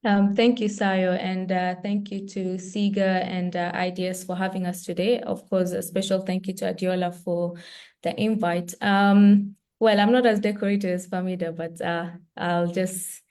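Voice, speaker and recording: A woman's voice: lowish voice, level tone, moderate pace, a little mellow. A woman from Kenya, a sports lawyer, introducing herself briefly and modestly on a webinar panel, with a few fillers, over a video call.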